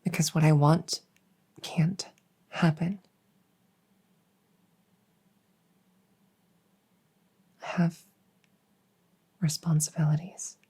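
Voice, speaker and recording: A woman playing a weary, dutiful young princess in an intimate audio roleplay, speaking in a halting, conversational way with a soft, husky voice. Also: low voice, level tone, exaggerated voice. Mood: resigned, conflicted. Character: young human princess, weary, dutiful, wistfully longing for freedom.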